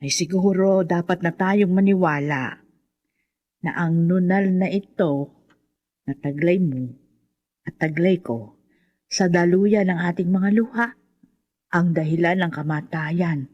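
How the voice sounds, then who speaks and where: A woman's voice: lowish voice, takes it slowly, long pauses, lo-fi sound. An actress playing an anxious, sorrowful mother of a grown daughter in a radio horror drama, speaking emotively and hesitantly. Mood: resigned, bitter.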